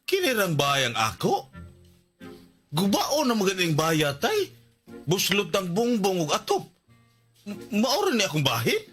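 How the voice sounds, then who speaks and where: An actor playing an aggrieved grown son in a radio melodrama, with a Cebuano accent and a stammering, protesting delivery. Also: male voice, high-pitched, huge pitch swings, unhurried, long pauses, fairly bright tone, soft background music, exaggerated voice. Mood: indignant. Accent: Cebuano Filipino. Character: aggrieved, indignant grown son of an elderly farmer. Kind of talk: melodramatic radio drama acting.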